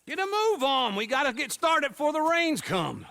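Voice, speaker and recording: An actor playing a fussy, bossy, excitable older man in a western game, hectoring and theatrical. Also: extremely high pitch, normal speed, vocal fry, full character voice. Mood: urgent, bossy.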